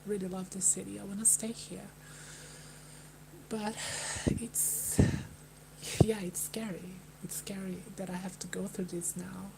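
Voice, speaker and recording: Halting Brazilian woman, flat delivery. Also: mid-range voice, vocal fry, noisy background. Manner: hesitant, halting, with many restarts and sighs. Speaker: livestreamer. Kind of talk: personal talk to a live chat. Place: Melbourne, indoors.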